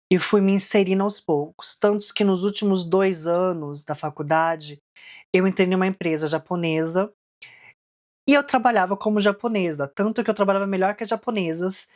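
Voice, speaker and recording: A young woman from Japan speaks in a fairly low voice as she recounts her own story in a vlog. Her tone is casual and reflective, and she peppers her sentences with fillers as she thinks aloud. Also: darkish tone. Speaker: vlogger.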